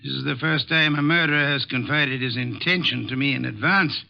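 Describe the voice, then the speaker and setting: Man acting a gravelly, pompous old-time radio detective genius. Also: medium pitch, lightly acted. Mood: formal, skeptical. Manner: pompous, formal, deliberate. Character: ponderous, brilliant, testy older male detective genius. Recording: muffled vintage 1940s radio recording.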